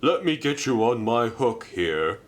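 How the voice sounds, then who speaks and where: A man's voice: mid-range voice, unhurried. A man voicing a polite, easygoing male scrapyard crane in a storybook reading. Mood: calm. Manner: calm and apologetic.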